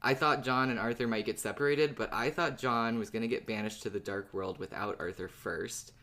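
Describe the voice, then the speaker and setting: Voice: mid-range voice, fairly quick, a bit bright. A co-host chats in a casual fan discussion on a podcast. The talk is informal and conversational.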